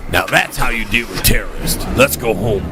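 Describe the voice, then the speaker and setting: A man's voice: lowish voice, animated, moderate pace, background noise. A man playing a cranky, hot-headed, bragging uncle in a comedy cartoon, blustering and grumbling. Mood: smug. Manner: blustering, grumbling, easily exasperated.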